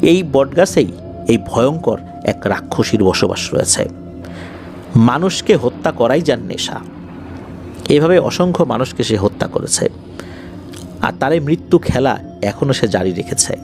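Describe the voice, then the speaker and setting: A man with a Bangladeshi accent hosts a horror story show, narrating suspensefully to his listeners with drawn-out words and pauses. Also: medium pitch, big pitch swings, creaky, noisy background.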